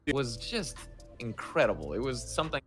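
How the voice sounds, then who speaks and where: Animated man chatting over music. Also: mid-range voice, normal speed. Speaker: voice actor, interview guest. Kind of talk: casual interview chat. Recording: music playing behind the voice.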